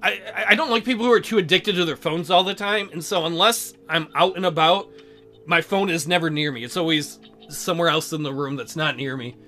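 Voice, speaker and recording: A woman's voice: on the low side, fairly quick, sharp tone, soft background music. A woman doing casual, goofy game commentary as a let's play host, joking crudely and rambling.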